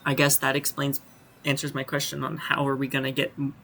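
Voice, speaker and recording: A woman's voice: rumbling low, monotone, a little fast, creaky, fairly bright tone. A woman giving casual, informal playthrough commentary, musing aloud as a gaming streamer. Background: faint game music.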